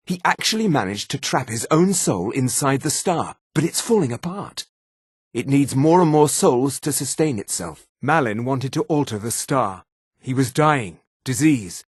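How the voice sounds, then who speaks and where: A man playing an old, weary elven wizard in a fantasy video game, with a measured, grave and somewhat haughty delivery. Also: medium pitch, husky, no room sound, clean studio sound. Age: older.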